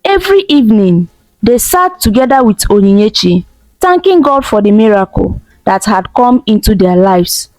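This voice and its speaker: A woman narrating a folktale in a gentle, expressive way. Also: mid-range voice, normal speed, no echo, poor audio. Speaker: storyteller. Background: soft music.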